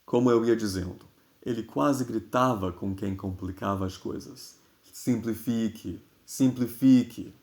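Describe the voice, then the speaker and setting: A man with a Brazilian accent reads literary prose expressively and deliberately, with dramatic pauses. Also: on the low side, darkish tone. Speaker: reader of a literary newspaper column. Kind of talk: expressive literary reading, scripted.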